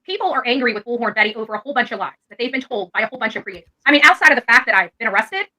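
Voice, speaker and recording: Female video creator, high-pitched and rapid, informal, insistent, defensive. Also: lively intonation, pushed and bright. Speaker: online content creator.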